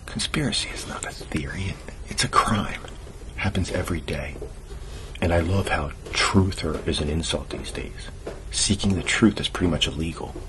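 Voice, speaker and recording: A man's voice: moderate pace, natural voice. A man acting as a dry, earnest conspiracy-minded cousin in an ASMR audio drama, speaking in a sardonic, colloquial, explanatory way. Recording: noisy low-quality amateur recording.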